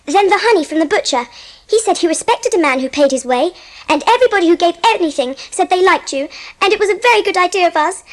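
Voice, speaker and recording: An actress playing an earnest, polite, well-spoken young girl with an English accent in a dramatised audiobook. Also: very high-pitched.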